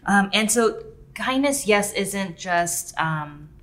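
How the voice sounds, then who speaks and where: A woman hosting a faith podcast, speaking slowly and reflectively with soft "um"s and whimsical touches. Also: mid-range voice, lively intonation. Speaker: podcast host. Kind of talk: casual podcast chat.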